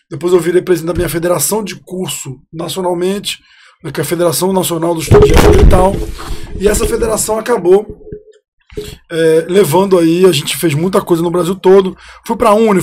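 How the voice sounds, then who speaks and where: A man in his forties from Rio de Janeiro, a guest telling his life story in a relaxed, talkative way on a podcast. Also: sits high, slight background noise. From Rio de Janeiro, Brazil. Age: 40s. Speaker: guest, city councillor. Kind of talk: casual storytelling interview.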